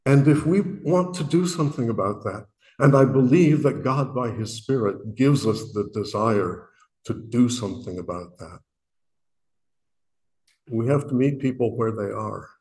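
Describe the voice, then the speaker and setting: A man from Illinois with a booming voice speaks to a worship meeting. His pitch sits in the middle for a man, and he talks earnestly, stumbling and restarting as he works through his thoughts, with a self-deprecating edge. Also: measured pace, darkish tone. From Illinois, USA. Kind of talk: reflective spoken message.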